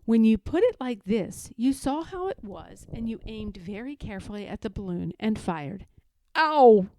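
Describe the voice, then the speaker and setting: A woman's voice: medium pitch, lively intonation, moderate pace, warm tone, a little hiss or hum. A woman reading a children's story aloud as a scripted narration. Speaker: storybook reader.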